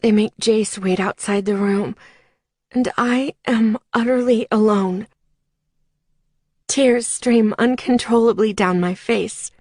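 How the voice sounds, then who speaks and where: A woman's voice: a little high, steady tone, slow pace, darkish tone, no echo, clean studio sound. A woman narrating a dramatic first-person audiobook in an emotive, scripted read.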